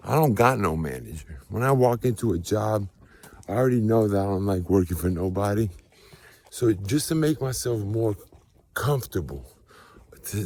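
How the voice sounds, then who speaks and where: An American man with a fairly low, mellow voice and a husky edge. He delivers a casual motivational testimony, emphatic and preachy, circling back on phrases to drive them home. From United States. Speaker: spiritual vlogger.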